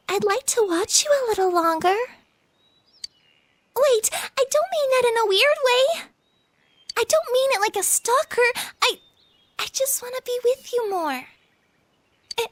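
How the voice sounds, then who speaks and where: A woman's voice: extremely high pitch, no echo. A woman voicing a shy, devoted teenage schoolgirl in an anime-style video game, girlish and sweet, with a hesitant, flustered, polite way of talking.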